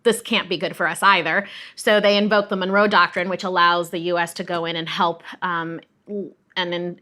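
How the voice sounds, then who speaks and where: A woman's voice: lowish voice, pushed and bright. A woman guest expert explaining history in a conversational, emphatic, teacherly way. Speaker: guest expert, museum director of education.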